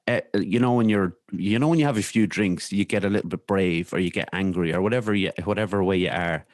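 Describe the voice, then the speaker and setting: Irish guy on a podcast, low voice, kinda halting and reflective, real dry close sound. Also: dry recording. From Ireland. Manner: halting, reflective, repeats words and trails off. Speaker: podcast guest. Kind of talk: personal storytelling in an interview.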